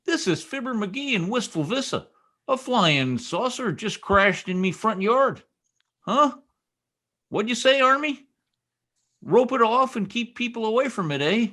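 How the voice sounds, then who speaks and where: Guy doing old radio comedy husband, blustery and boastful, folksy talk, really animated high voice. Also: a little slow, heavily acted. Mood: frantic excitement. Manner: folksy, blustering speech with quaint exclamations. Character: blustery, excitable, boastful small-town husband, folksy skeptic. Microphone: video call microphone. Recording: video call recording.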